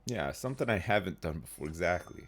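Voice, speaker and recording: Phlegmy man, lively pitch. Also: fast talker. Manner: rambling, reads chat and answers. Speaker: streamer. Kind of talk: casual live gameplay chat.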